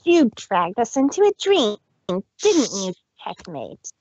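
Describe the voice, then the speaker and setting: Theatrical magician mare, grand and lively, a woman acting. Slow. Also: mid-range voice, husky, dry recording, dull audio, exaggerated voice. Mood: suspicious. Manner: theatrical, suspicious. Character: boastful young magician mare, speaks of herself in third person.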